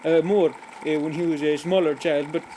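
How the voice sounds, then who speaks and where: A man putting on a high-voiced rambling joke-teller. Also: steady tone, moderate pace, crowd noise, close to an everyday voice. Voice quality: broken grammar, many fillers and restarts, giggly. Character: rambling, cheerful adult man telling pointless jokes. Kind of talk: comic character monologue.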